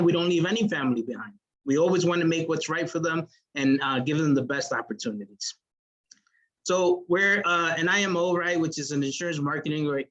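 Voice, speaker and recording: A man's voice: on the high side, fairly bright tone, lo-fi sound. A man as a sales manager giving an earnest motivational business presentation on a video call, with many fillers.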